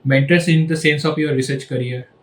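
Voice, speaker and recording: A young man in his twenties hosting a podcast, asking his questions softly and hesitantly, with fillers, into a desk microphone. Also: a little high, fairly even intonation, fairly quick, reverberant, slight background noise. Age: 20s. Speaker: podcast host. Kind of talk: podcast interview. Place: indoor studio room with shelves.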